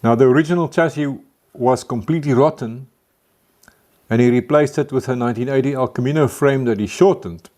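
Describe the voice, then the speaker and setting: A middle-aged South African man with an Afrikaans accent talks through his car-building vlog in a relaxed, chatty way. He chuckles often and stumbles over a word now and then. His voice sits at an ordinary middle pitch. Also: a little fast. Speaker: car builder hosting a community show. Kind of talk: casual vlog chat.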